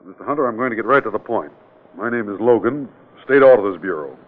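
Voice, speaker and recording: An actor playing a direct, persistent state auditor in a 1940s radio crime drama, matter-of-fact and probing. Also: male voice, lowish voice, lively intonation, quick pace. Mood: firm, probing. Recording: tinny, band-limited old radio broadcast.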